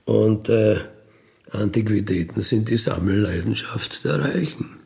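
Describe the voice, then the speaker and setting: A man's voice: on the low side, lively intonation, measured pace, creaky, darkish tone. A middle-aged man with an Austrian accent tells his own story casually, in a matter-of-fact, unapologetic, colloquial way. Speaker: former burglar telling his life story. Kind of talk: casual first-person storytelling in a radio feature.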